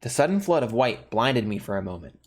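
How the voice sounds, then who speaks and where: A man's voice: medium pitch, very animated, fairly quick. A man who hosts gaming videos, reading story text aloud and commenting casually.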